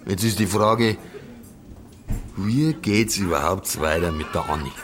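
An actor playing a drunken, brooding village pub philosopher with a Bavarian accent and a ponderous, gruff manner. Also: male voice, low-pitched, unhurried. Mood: grumpy, insistent. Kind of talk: audio drama acting in dialect.

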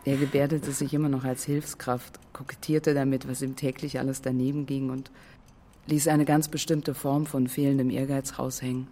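An actress playing a reflective woman looking back on a former colleague in a naturalistic radio drama, speaking in a measured way. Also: extremely low pitch, little pitch movement, dark tone, slight background noise. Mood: reflective, critical. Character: reflective woman recalling a male colleague and ex-partner.

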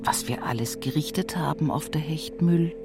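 A woman's voice: sits low, normal speed, in a whisper, music in the background. An actress playing an old, toil-worn rural mother with an Upper Palatinate Bavarian accent and a cracked voice, resigned and earthy, in a radio play. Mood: weary, resigned. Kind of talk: dramatic radio play monologue.

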